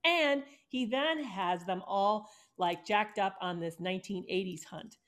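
A woman's voice: medium pitch, a little slow, a bit bright. A woman in a casual chat, giving advice on writing and affirming often. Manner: encouraging, gives advice, many affirmations.

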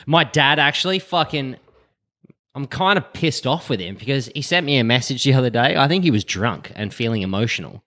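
A man in his late thirties talks in a loose, casual conversation. His voice sits at an ordinary pitch, and his manner is blunt and joking, with plenty of swearing. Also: animated, brisk, bright, forceful tone. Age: late 30s. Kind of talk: casual chat.

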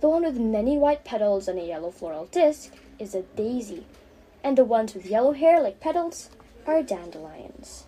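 A woman's voice: fairly high pitch, sing-song, moderate pace, mellow, slight background noise, rough recording. A teenage young woman voicing a kindly, patient aunt who teaches, in a storybook reading. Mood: explaining. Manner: patient, explanatory, fond.

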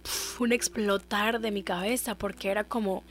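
A young adult woman from Central America telling a personal story as a podcast host, addressing listeners often and acting out remembered dialogue in an animated way. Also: medium pitch, moderate pace, soft background music.

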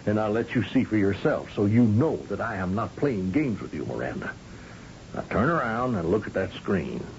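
An actor playing a commanding, folksy governor of a space colony who questions shrewdly, in an old-time radio drama. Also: male voice, on the low side, lively intonation, normal speed, creaky, a little mellow. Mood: stern, taunting. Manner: folksy, probing, patronizing. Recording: dull, noisy old radio recording.

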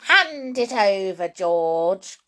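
Woman acting a cantankerous, shouty old grandmother. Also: medium pitch, big pitch swings, extremely slow, heavily acted. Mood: furious. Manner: shouting, accusing, self-pitying. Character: cantankerous, greedy old grandmother, huge bullying hag.

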